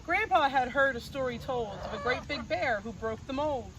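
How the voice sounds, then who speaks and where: A woman reading a rhyming picture book aloud in a gentle sing-song cadence, recorded outdoors on a farm. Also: sits high, animated, normal speed. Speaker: storybook reader. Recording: noisy outdoor recording with crickets.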